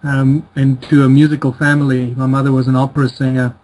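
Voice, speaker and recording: A man's voice: mid-range voice, flat delivery, fairly quick, a little mellow, rough recording. A middle-aged man with a South African accent, talking as an interview guest over a remote call in a hesitant, conversational way. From born in Czechoslovakia, grew up in South Africa. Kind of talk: conversational life story telling.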